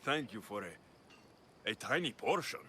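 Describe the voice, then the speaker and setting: An actor playing a stoic, battle-hardened mercenary in a video game, blunt and dry. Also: male voice, fairly low pitch, sing-song, takes it slowly, bright, forceful tone, slight background noise, full character voice. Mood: dry, sardonic. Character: stoic, battle-hardened young male Greek mercenary, dryly sardonic. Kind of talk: video game cutscene acting.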